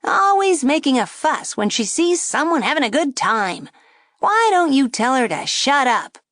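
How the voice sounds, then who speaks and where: A woman voicing a loud jeering wooden dummy. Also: high voice, big pitch swings, unhurried, no room sound, clean studio sound, voice pushed up, exaggerated voice. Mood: taunting. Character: rude brash male ventriloquist's dummy, insulting.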